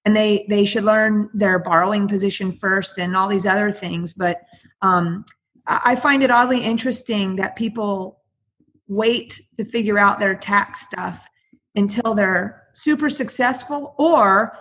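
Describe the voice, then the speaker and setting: Host: playful, excitable woman with a husky, breathy voice. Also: medium pitch, unhurried. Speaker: podcast host. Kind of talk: casual interview chat.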